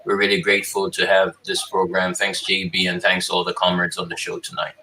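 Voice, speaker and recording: A man's voice: sits low, completely flat delivery, fairly quick, bright, forceful tone, slight background noise, low-quality recording. A Ugandan man living abroad greeting viewers and thanking the hosts on a panel. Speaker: panel guest.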